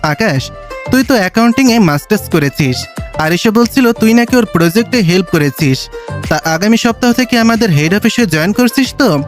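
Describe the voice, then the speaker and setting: A man acting as a rich old patriarch in an audio drama, with a notably high-pitched voice. He is stern and shrewd, probing and ordering the young around. Faint music plays behind him. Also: animated, moderate pace. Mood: probing, authoritative. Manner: commanding, probing, speaks down familiarly to youngsters. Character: stern, shrewd wealthy old grandfather testing his grandson-in-law.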